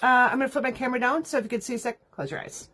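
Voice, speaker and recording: A woman's voice: fairly high pitch, very fast, fairly bright tone, slightly rough. A congested woman with a nasal sound, chatting casually and rambling while teaching a card-making class live from home. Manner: chatty, rambling, laughs often. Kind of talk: casual livestream chat.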